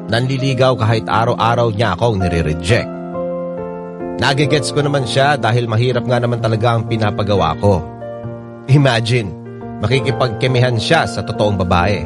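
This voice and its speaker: An actor with a Filipino accent playing a lovelorn narrator who tells a first-person story in an audio drama. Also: male voice, mid-range voice, animated, moderate pace, lightly acted. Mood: wistful, resigned. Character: lovelorn narrator pining over repeated rejection. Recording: loud guitar music under voice. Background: loud guitar music.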